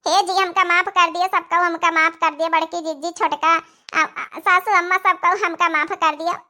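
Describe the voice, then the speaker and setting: A woman's voice: very high-pitched, flat delivery, normal speed, pushed and bright, heavily acted. A woman voicing a repentant young village wife in a cartoon, pleading effusively in an Awadhi accent. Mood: tearful pleading.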